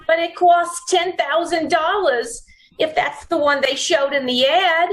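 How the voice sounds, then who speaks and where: Woman acting a very high-pitched, coaxing moll. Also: steady tone, a little slow, a bit bright. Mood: doubtful, sweet. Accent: American. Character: coaxing gangster's girlfriend wanting a fur coat. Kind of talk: 1940s radio crime drama acting.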